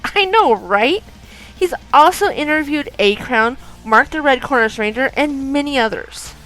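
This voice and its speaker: A woman's voice: sits high, animated, unhurried, fairly bright tone, low-quality recording. A woman playing a perky young female anime heroine in a scripted podcast advert. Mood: perky, promotional. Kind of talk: scripted promotional ad. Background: music.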